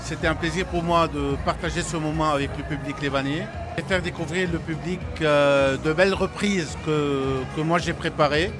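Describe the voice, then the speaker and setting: A man's voice: on the high side, steady tone, moderate pace, over loud music. A man who sang in the show, speaking a little hesitantly about it. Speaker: singer interviewed.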